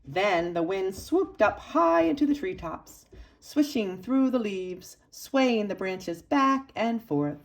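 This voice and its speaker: A woman reading a children's picture book aloud expressively, with dramatic emphasis and pauses for young listeners. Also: medium pitch, big pitch swings. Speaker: storybook reader for children's church.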